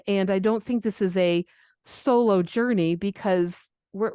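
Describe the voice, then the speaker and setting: A woman's voice: mid-range voice, darkish tone, no room sound. A woman co-hosting a podcast, explaining things in a casual, conversational way. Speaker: astrology podcast co-host.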